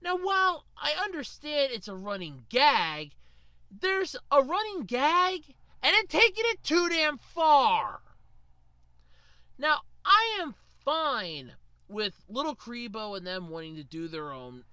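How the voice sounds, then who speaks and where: A man's voice: very high-pitched, sing-song, takes it slowly, bright, forceful tone, no room sound. A man delivering an informal opinion rant to viewers as a video host, in an exasperated, emphatic, sweary way. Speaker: online ranter, video host.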